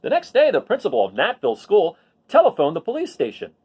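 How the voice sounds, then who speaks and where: Highly animated man reading a storybook. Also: fast, husky. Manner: animated, emphatic, dramatizing key words. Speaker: storyteller reading a picture book aloud. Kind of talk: expressive read-aloud with chatty asides to listeners.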